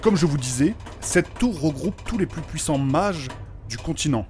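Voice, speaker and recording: A man's voice: on the high side, lively intonation, moderate pace, darkish tone, faint music behind, natural voice. A man playing a courteous, pompous mage with formal, old-fashioned diction in a French fantasy comedy audio drama. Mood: pedantic, explaining. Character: courteous, pompous, easily shocked male mage explaining lore.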